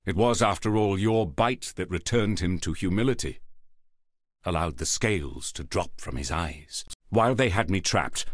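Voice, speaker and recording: A devout fantasy templar with a low, deep voice, recorded close and dry. He speaks in earnest, formal, archaic phrases, like dramatic dialogue from a video game, solemn and measured throughout. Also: dry recording. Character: solemn, devout male templar warrior hosting a wounded god.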